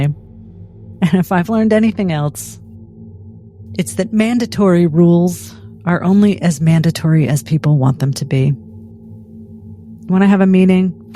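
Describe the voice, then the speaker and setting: A woman's voice: lowish voice, warm tone. A woman playing a tabletop game, speaking in character and about her character. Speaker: player in a tabletop roleplay game. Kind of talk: in-character roleplay.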